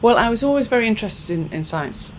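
A woman scientist answering interview questions in a podcast, thoughtful and earnest, pausing on fillers as she explains. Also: a little high, expressive, slight background noise. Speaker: scientist and professor being interviewed.

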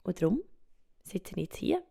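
Soft-spoken Swiss woman, whispery and gravelly. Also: fairly low pitch, sing-song, moderate pace. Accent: Swiss German. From Switzerland. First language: Swiss German. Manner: soft, often drops to a whisper, thinks aloud with fillers. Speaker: podcast host. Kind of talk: reflective solo podcast monologue. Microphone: home microphone.

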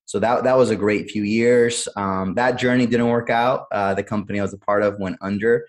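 A man's voice: on the low side, steady tone, brisk. A man in his thirties from Cleveland, born in Honduras, telling his life story as a podcast guest in an earnest way, with many "uh"s and repeated words. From born in Honduras, raised in Cleveland, Ohio. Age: 30s. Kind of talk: candid conversational storytelling.

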